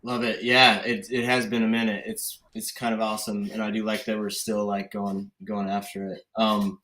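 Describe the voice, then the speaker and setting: A man's voice: fairly low pitch, little pitch movement, moderate pace, sharp tone. A man in his thirties from Connecticut, a podcast guest chatting casually in a laid-back, rambling way with lots of fillers. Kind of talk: casual conversation. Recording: roomy echo.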